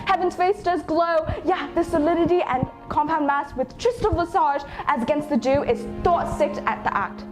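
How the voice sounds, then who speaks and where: Casting: impassioned, accusing young prince female performer, high voice, Shakespearean stage drama, music underneath. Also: moderate pace, few pauses, natural voice. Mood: accusing, outraged. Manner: declamatory, impassioned. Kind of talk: dramatic Shakespeare reading, amateur.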